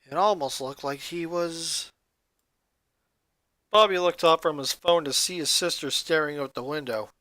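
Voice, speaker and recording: Male narrator, high pitch, bright forceful tone, expressive story reading, dry close sound. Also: measured pace, dry recording. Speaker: narrator, fan fiction reader.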